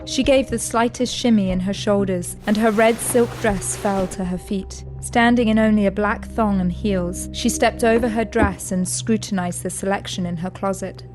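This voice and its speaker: A woman reading scripted narration for an audio drama in a measured, even way. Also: medium pitch. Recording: music bed under the voice.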